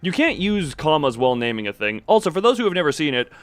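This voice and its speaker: A man's voice: fairly high pitch, very animated, fast talker, no echo, soft background music. A man hosting a livestream, rambling casually and jokingly as he goes off on tangents and talks back to chat. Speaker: livestream host, streamer.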